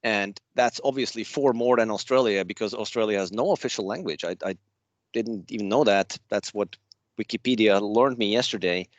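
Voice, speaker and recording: A man from Switzerland with a Swiss accent, giving a relaxed slide presentation to a user group over a video call. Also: fairly low pitch, moderate pace, dry recording, lo-fi sound. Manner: chatty, loose and self-deprecating. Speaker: guest speaker at a user group. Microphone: remote video call.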